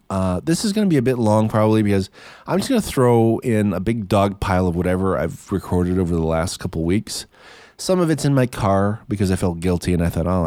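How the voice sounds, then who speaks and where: Man, hoarse, gravelly, low voice, dry close sound, rambling chatty podcast host. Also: dark tone, no room sound. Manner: chatty, self-deprecating, laughs often. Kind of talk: rambling casual monologue. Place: in his car for the later part.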